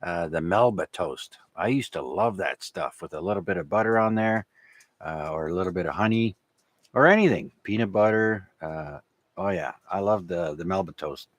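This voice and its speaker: A man's voice: deep voice, animated, moderate pace, no echo. A man, a native French speaker from Canada, hosting an amateur radio net in a casual, rambling, hesitant way.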